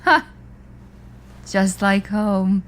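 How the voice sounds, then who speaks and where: An actress playing a tipsy, oddly formal woman in an audio drama, grandiose, deliberate and cryptic. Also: mid-range voice, animated, fairly bright tone. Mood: reassuring, tipsy. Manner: grandiose, deliberate, theatrical phrasing.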